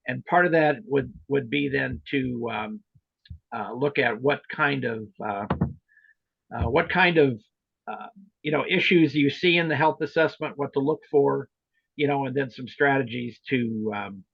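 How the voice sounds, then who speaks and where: A man talks through training matters in an informal, halting and meandering way. His voice is husky and gravelly, heard over roomy online meeting audio. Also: medium pitch, dull audio. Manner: hesitant, rambling, explanatory. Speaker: meeting leader and trainer of volunteer club officers.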